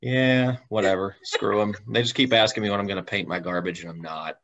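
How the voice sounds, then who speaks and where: A man's voice: on the low side, steady tone, a little fast, fairly bright tone. Wry man on a slightly dull call. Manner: wry, jokingly stubborn. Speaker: interview guest telling car stories. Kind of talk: casual chat. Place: remote video call.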